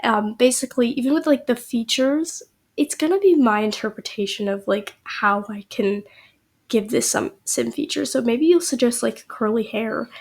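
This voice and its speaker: A woman's voice: a little high, normal speed, creaky. Slightly nasal young woman, sick. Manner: chatty, rambling, many "like"s and "um"s. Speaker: YouTube creator. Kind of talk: casual chat to viewers.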